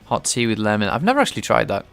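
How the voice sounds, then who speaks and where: A man with a low, gravelly voice talks through his code in a casual, halting way, often restarting his sentences. He sounds slightly stuffed-up and nasal, as if he has a light cold. Soft music plays faintly behind him. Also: wildly swooping pitch. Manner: thinks aloud, hesitant, many restarts. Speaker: game developer streaming his work. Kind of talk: casual live-coding commentary.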